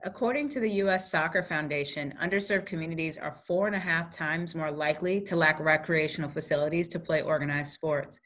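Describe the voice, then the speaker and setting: Low-voiced woman moderating a panel. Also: fairly bright tone. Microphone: video call.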